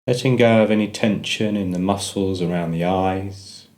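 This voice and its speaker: Male meditation guide, low-pitched voice, gentle measured delivery with pauses. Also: fairly even intonation.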